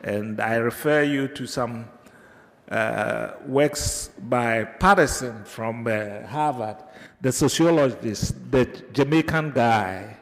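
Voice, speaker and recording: Ghanaian man, guest lecturer, slow unhurried delivery, halting with lots of uh's, lecture hall reverb. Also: medium pitch. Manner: hesitant, many filler pauses, digressive. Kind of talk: unscripted academic lecture.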